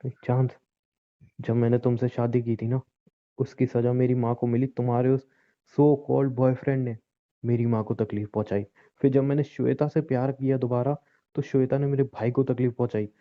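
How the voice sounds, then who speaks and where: An actor playing a young, wounded, estranged husband in a relationship drama, speaking in a curt, confrontational way and repeating himself. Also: male voice, mid-range voice, level tone, moderate pace, natural voice. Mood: bitter, hurt. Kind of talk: Hindi audio relationship drama, naturalistic dialogue. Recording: dull lo-fi recording.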